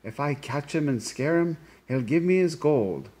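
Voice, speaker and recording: A man's voice: on the high side, lively intonation, measured pace, darkish tone, husky, pitched above the performer's own voice. A man voicing a clever, eager young farm lad, bold and pleased with himself, in a storybook reading. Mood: scheming.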